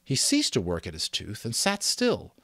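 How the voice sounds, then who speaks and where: A man reading a story aloud as dramatic, expressive narration. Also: fairly low pitch, moderate pace, no room sound, studio quality. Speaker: audiobook reader.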